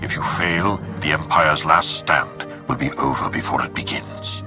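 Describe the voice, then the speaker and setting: An actor playing a grave, commanding Sith lord in a video game, speaking formally and deliberately. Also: male voice, rumbling low, over music. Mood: stern warning. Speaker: military leader giving a mission briefing. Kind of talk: video game cutscene acting.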